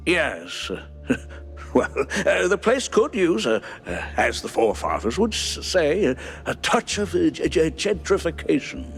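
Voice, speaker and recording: A man's voice: fairly high pitch, big pitch swings, a little slow, vocal fry, fairly bright tone, faint music behind, exaggerated voice. An actor playing a nervous, genial male cartoon mouse in a game, stammering and hesitant, with pompous wording. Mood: hesitant, awkward.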